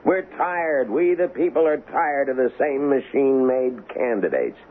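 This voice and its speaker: An actor in a radio drama playing a shrewd, folksy, cynical political kingmaker with a glib, pushy patter and a gravelly voice. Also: male voice, medium pitch, expressive, a little slow, close to an everyday voice. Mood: rousing, persuasive. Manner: glib, wheedling salesman's patter, wry. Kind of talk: old-time radio drama acting. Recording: muffled, noisy old radio broadcast.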